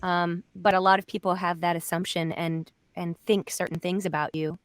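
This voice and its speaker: A woman's voice: lowish voice, level tone, normal speed. A woman voice actor talking casually about her work on a panel, recorded at home.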